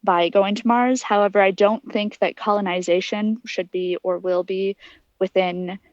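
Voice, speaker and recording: A young woman of about twenty-one speaks at a middle pitch as an interview guest. Her answers are articulate and earnest, laid out in an explanatory way. The sound is dry and a little dull, with a lo-fi edge. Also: steady tone, moderate pace, no echo. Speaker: interview guest, aspiring astronaut.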